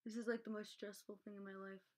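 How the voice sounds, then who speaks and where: A woman's voice: mid-range voice, level tone, fast, a little mellow. A woman of about twenty chatting while dyeing eggs, dry and a bit bossy, swearing casually, on a camera mic in a small bedroom. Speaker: co-host.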